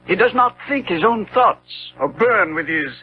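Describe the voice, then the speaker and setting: A decadent, worldly lord with a high, very animated voice. He is suave and cynical, delivering his epigrams theatrically. It is a slightly noisy vintage radio drama recording. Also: moderate pace, narrow, tinny audio. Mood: amused provocation. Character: dissolute, cynical Victorian lord and worldly tempter. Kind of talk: 1970s radio drama acting.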